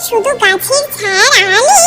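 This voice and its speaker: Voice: very high-pitched, expressive, normal speed, sharp tone, soft background music. A narrator reading a scripted story for a children's cartoon.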